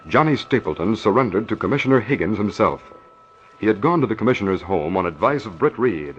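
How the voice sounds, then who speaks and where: A man's voice: deep voice, a little hiss or hum. A man narrating a scripted 1940s radio serial in a formal, dramatic style. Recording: muffled old radio recording, faint music.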